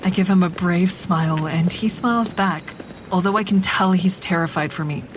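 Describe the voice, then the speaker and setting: A woman's voice: normal speed, natural voice, poor audio. A woman playing a cool, calculating narrator who tells her own story in a wry, controlled way in an audio drama. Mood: controlled, observant. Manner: wry, controlled, matter-of-fact. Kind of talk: scripted first-person drama narration. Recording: faint traffic rumble behind.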